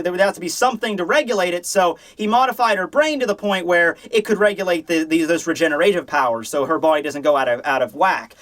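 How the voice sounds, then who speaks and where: A man hosting a manga review, talking in a casual, opinionated, rambling and animated way with casual swearing. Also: sits high. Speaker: host of a manga review show.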